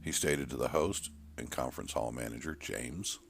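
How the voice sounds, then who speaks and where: A man's voice: rumbling low, moderate pace, gravelly, a little hiss or hum. A man reading a scripted horror short story as its narrator.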